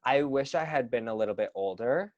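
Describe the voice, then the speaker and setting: A man's voice: medium pitch, expressive, fairly quick, a little mellow, no room sound, muffled recording. A young man in his twenties, a dancer and teacher, talking earnestly in a casual interview with lots of fillers and restarts. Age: 20s.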